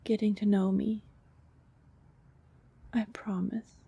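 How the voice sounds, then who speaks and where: A woman performs a female vampire in love with her neighbor, persistent and anxious, in an ASMR roleplay. Her voice is soft and dark, with a breathy, creaky edge. She pleads in halting phrases that often sink toward a whisper. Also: mid-range voice, level tone, exaggerated voice. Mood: tender, hesitant.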